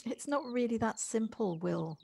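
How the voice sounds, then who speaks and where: A fairly high female voice from an actress plays a wistful city woman in an audio drama. She speaks gently and haltingly, repeating words as she searches for what to say, in a natural, understated style. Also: expressive, gravelly, breathy edge, heavily acted. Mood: wistful, hesitant.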